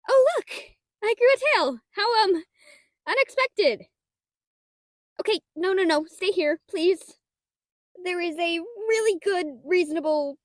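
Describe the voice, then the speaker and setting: Woman acting a high-pitched, flustered nerdy librarian dragon-girl. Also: no room sound, heavily acted. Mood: nervous, evasive. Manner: stammering, flustered, rambling with dry wit. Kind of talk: scripted audio roleplay addressed to the listener.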